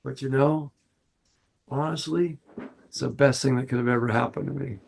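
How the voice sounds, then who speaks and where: An older man with a naturally raspy voice talks in a relaxed podcast chat. He tells his story earnestly, breaking into laughs as he goes, and his pitch sits right in the middle for a man. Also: moderate pace, a little hiss or hum. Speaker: podcast co-host.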